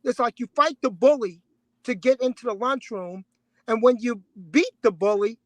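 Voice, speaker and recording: A man's voice: very high voice, fairly bright tone, no room sound. A man arguing as a guest in a heated panel debate, stopping and restarting mid-sentence and leaning on analogies. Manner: halting restarts, argues through analogies.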